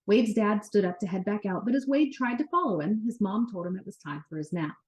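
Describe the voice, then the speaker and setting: A woman reads a children's story aloud in a mid-pitched voice. Her delivery is expressive and animated, shaped for young listeners as a storyteller's narration. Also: brisk.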